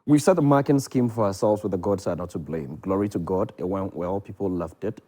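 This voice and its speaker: A man's voice: deep voice, a little fast, mellow. A man with a Ghanaian accent talking as a theatre director in a promotional interview, emphatic and repeating phrases for effect. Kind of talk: lively promotional interview chat.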